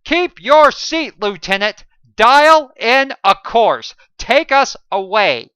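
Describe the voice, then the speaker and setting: A man's voice: mid-range voice, animated, moderate pace, a bit bright, no room sound, studio quality. A woman voicing a cold, apathetic male ship captain who gives curt orders. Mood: barking. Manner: curt, commanding, unconcerned.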